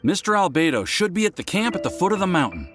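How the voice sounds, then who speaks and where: A flustered young apprentice talks in a mid-pitched voice that rises and falls a great deal. He sighs often and stumbles over his words. Game music plays underneath. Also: very animated, quick pace. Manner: flustered, sighing. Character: anxious young male alchemy apprentice. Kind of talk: video game dialogue.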